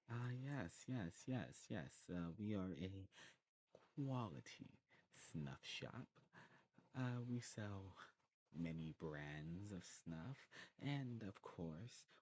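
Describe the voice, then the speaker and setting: A man's voice: on the low side, darkish tone, a little hiss or hum. A man doing an ASMR role-play as an eager demon shopkeeper, explaining things in a rambling, informal way. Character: male demon shopkeeper of a snuff shop, eagerly welcoming.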